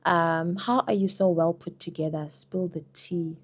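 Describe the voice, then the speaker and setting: A woman's voice: on the low side, fairly even intonation, unhurried. A young South African woman answering viewer questions in a casual, chatty vlog, often saying "girl" and "you know". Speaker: vlogger.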